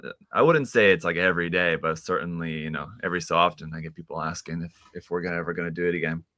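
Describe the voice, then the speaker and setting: A man hosting a casual live stream chat, relaxed and laughing often, with many fillers. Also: sits low, fast, no room sound, clean studio sound.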